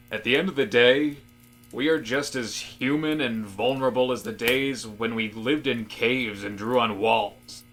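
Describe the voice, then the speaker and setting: A man's voice: mid-range voice, bright, forceful tone. A man playing an earnest office worker in a comedic audio drama, musing dramatically and prone to exasperated rants. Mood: solemn musing. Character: earnest male office worker philosophizing grandly about fire.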